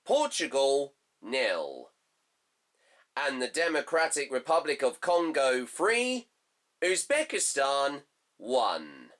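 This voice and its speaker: A slightly hoarse man hosting a fan football show, reading out results and previewing a match in a halting way, full of fillers and reading off his notes. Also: on the high side, a bit bright.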